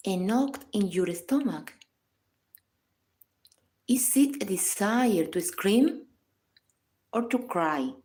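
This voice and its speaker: A woman reading a scripted guided meditation in a calm, measured, instructional manner. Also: mid-range voice. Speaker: psychologist guiding a meditation.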